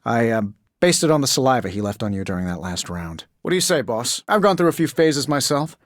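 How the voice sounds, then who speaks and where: An actor playing a cocky, middle-aged genius inventor superhero in a video game, glib and sardonic with constant quips. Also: male voice, medium pitch, big pitch swings, brisk, no echo, clean studio sound, natural voice. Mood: wry, confident.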